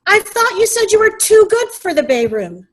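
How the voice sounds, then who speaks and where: A woman playing a brisk, formally polite but exasperated restaurant hostess in a comic readers' theater sketch. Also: extremely high pitch, sing-song. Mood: patient, insistent. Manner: brisk, formal customer-service phrasing.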